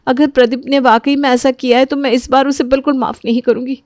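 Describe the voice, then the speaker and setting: A woman plays a meek young wife in a family drama. Her voice is pitched up high and sounds plaintive and pleading, in a dry recording. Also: flat delivery, fast talker, darkish tone, no echo, natural voice. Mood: worried. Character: distressed, meek young daughter-in-law, hurt and pleading. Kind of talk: Hindi family audio drama acting.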